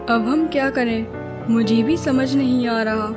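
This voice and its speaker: A woman's voice: on the high side, unhurried, close to an everyday voice. A woman playing a respectful, sorrowful queen in a cartoon moral story, speaking formally. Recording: music under the voice.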